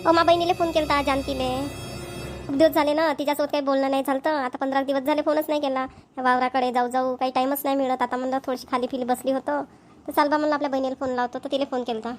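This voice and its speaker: Cartoon village sister, middle-aged, female performer, high voice, Vidarbha Marathi accent, talkative, concerned and full of questions. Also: flat delivery, quick pace, faint music behind, close to an everyday voice. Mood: chatty. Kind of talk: amateur cartoon dialogue.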